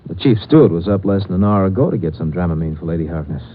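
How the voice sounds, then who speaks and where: A man's voice: low-pitched, fast. A man playing a curt, stern ship's doctor in a 1950s radio drama. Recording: tinny old radio recording.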